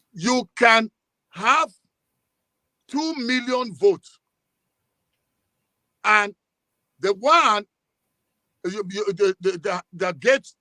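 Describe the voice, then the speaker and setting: A Nigerian-accented man speaking as a guest commentator in a live political discussion, emphatic, halting and repeating himself as he argues. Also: sits high, sharp tone, no room sound.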